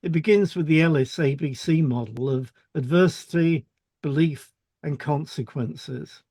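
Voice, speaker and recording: A man's voice: on the high side, measured pace, warm tone, slightly rough, no echo. A man reading a scripted explanatory podcast as co-host.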